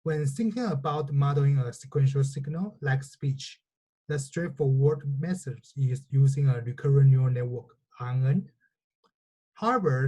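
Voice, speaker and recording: Male researcher, native Chinese speaker, soft mellow tone, slightly rough husky voice, methodical formal lecture delivery. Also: mid-range voice, low-quality recording. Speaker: researcher presenting a technical lecture. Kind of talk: scripted technical lecture narration.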